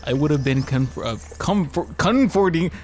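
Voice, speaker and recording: A man's voice: a little high, lively intonation, moderate pace. A man playing a visual novel with a friend as a guest, bantering casually and teasingly and sometimes reading narration aloud. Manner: jokey, teasing, swears. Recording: music clearly behind the voice.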